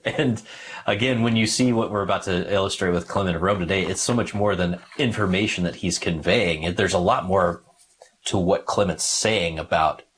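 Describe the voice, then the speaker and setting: A man's voice: fairly low pitch, fairly bright tone, slight background noise. A man hosting a podcast in casual discussion, informal and digressive, feeling his way through his thoughts.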